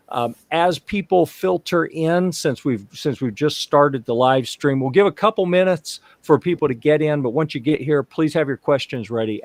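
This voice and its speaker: A man's voice: a little high, dry recording. Chatty joking man hosting a panel. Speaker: host and show sponsor. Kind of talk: casual live-stream panel hosting.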